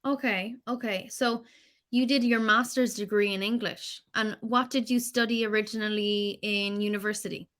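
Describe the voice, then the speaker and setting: A woman's voice: mid-range voice, steady tone, normal speed, fairly bright tone. A woman from Ireland, tutoring English in a patient, encouraging way and asking follow-up questions. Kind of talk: online tutoring conversation.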